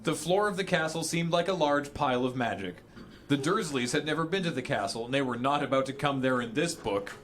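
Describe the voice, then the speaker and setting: A man's voice: mid-range voice, brisk, a bit bright, slight background noise. A man reading an absurd story aloud theatrically for comedy, prone to breaking up as he goes. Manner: theatrical, often breaking into laughter. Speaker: reader on a charity stream.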